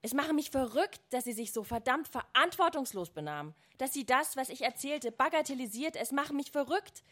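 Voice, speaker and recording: A woman reading aloud as a furious, desperate adult daughter from a novel, shouting accusations at her mother. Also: sits high, animated, moderate pace, voice pushed up.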